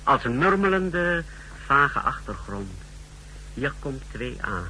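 A man's voice: mid-range voice, very animated, takes it slowly, bright, forceful tone, full character voice. An actor playing a formal, precise secret service technician reporting to his superior in a 1960s radio drama. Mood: clinical, formal. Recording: noisy, humming 1960s radio recording.

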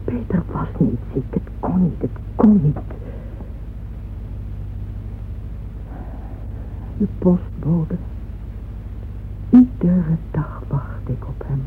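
An actress playing a grieving, lovesick woman patron who recalls her heartbreak in a halting radio drama monologue. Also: lowish voice, lively intonation. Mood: anguished. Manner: hushed, halting, repeats words in anguish. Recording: muffled phone-like old radio recording, noisy.

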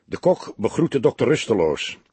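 A man narrating a crime novel as an audiobook, in expressive scripted storytelling. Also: medium pitch, normal speed, breathy edge, no echo.

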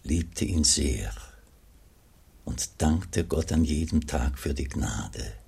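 A pious old monk in a radio play, grave and humble. The voice is extremely deep, with gravel and heavy breath in it. He speaks in a solemn, measured way, full of quiet yearning. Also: heavily acted. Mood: solemn, tender. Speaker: actor and first-person narrator. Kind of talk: German radio play acting.

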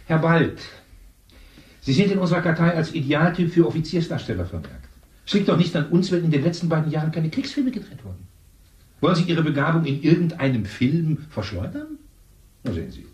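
A curt agency clerk. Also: male voice, fairly high pitch, big pitch swings, fairly quick, a little mellow, distant mic. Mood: brusque. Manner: curt, condescending, brushing off. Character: brisk male casting-agency clerk, dismissive. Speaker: agency secretary. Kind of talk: 1970s radio play acting. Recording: echoey room, off-mic.

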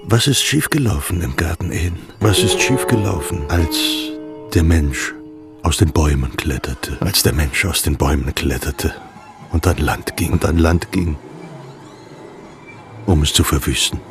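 A man's voice: a bass voice, faint music behind. A man reciting grave psalms and old religious texts in a literary radio play, solemn and weighty. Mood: grave, brooding. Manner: slow, weighty, deliberate delivery. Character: grave male voice reciting psalms and Luther's words.

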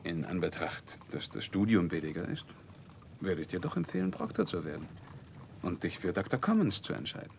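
Confident gentleman friend, low and animated, deliberate advising tone over faint background noise, vintage radio play. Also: vocal fry, full character voice. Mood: advising, friendly. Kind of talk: 1960s German radio drama acting.

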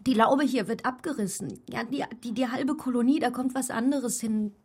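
Anxious young woman, husky, high-pitched. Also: a little mellow. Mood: anxious, defensive. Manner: fragile, defensive, halting. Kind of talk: naturalistic German radio drama acting.

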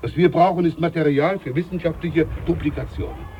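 A man's voice: a little high, fairly quick, highs cut off, slight background noise, close to an everyday voice. A man playing a jovial, scheming older ship's doctor in a German radio play, pompous, overfamiliar and emphatic.

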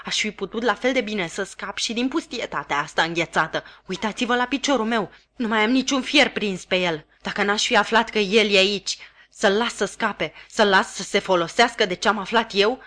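A woman's voice: mid-range voice, pushed and bright, natural voice. A woman voicing a furious escaped male convict who speaks with scorn and savage vehemence in a storybook reading. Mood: vehement scorn.

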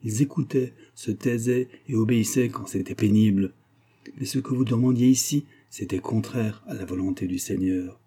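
Man playing a grave old female servant, husky. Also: fairly low pitch, normal speed, dark tone. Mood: solemn reproach. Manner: solemn, plain-spoken, firm.

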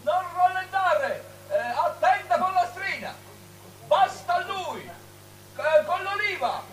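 Shrill, echoing wild prophet. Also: male voice, lively intonation, slow, heavily acted. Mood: ominous, raving. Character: wild prophet crying out doom from afar. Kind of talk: stylized theatrical radio drama. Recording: echoing, distant voice over music.

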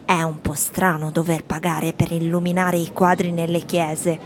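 An actress playing wry, plaintive tourists in a theatrical radio reading. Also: female voice, fairly low pitch, level tone, moderate pace, a little hiss or hum, lightly acted. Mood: wry, complaining. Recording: music behind the voice. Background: music.

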